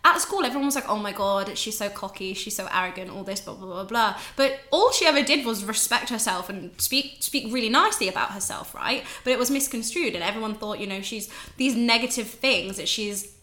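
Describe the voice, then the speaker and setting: A woman's voice: medium pitch, lively intonation, brisk, hardly any pauses, bright, forceful tone, echoey room. A woman in her twenties with an English accent, a podcast guest talking candidly and casually, swearing now and then. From England, raised in Ireland. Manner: chatty, candid, swears, laughs often.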